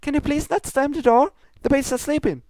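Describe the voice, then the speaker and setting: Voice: high-pitched, brisk, a little mellow, no room sound, voice pushed up, close to an everyday voice. A reader voicing a cheerful, oblivious young female pony baker in a bubbly, casual manner for a comedic fan fiction story. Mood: politely asking.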